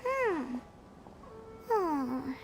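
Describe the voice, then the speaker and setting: A woman voicing a tiny, childlike, excitable fairy companion in a video game, with a squeaky voice. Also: drawn-out words, creaky, full character voice. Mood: puzzled. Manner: excitable, exclamatory, refers to self in third person. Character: Paimon, tiny childlike fairy companion, bubbly and easily puzzled. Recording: music behind the voice.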